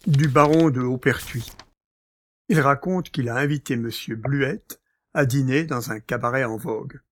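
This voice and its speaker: A man playing a courteous, gossipy gentleman master in a French audio drama, speaking formally. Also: mid-range voice, a little slow, no echo, studio quality, natural voice. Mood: urbane, amused.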